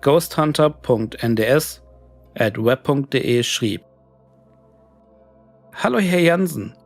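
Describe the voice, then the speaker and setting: A man reading a scripted horror story in a measured, clearly read narration. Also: mid-range voice, expressive, faint music behind. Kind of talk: scripted creepypasta audiobook narration of emails and diary entries.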